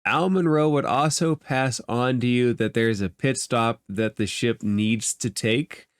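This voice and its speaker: A man acts as game master, improvising narration and explaining the world to players. His voice sits at an average pitch and is recorded very dry, with no room around it. The delivery is conversational. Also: a little slow, no echo.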